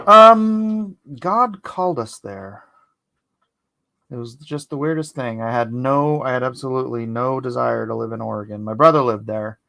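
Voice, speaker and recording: Gravelly, dry-humored man. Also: mid-range voice, pushed and bright, dry recording. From born in Hawaii, raised in San Jose. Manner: dry, joking, halting when reflective. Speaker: co-host, friend. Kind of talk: casual chat.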